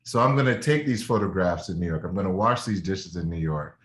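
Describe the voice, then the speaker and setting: A man's voice: deep voice, animated, brisk, reverberant. A man hosting an interview, enthusiastic, cutting in with eager follow-up questions. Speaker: host.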